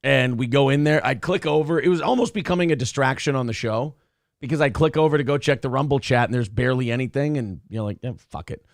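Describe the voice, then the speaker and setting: Hoarse rambling male show host. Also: medium pitch, fast, no echo, clean studio sound. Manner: rambling, restarts, swears freely. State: hoarse, raspy voice. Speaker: radio show host reading live chat. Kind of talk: casual chat.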